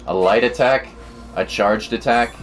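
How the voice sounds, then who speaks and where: Low-voiced man casually commentating gameplay. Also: measured pace. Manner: rambling, informal. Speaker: game streamer. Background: game music.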